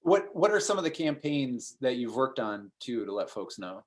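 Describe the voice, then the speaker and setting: A man of forty hosting a casual interview, talking haltingly with many restarts. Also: medium pitch, sing-song. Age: 40s. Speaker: host.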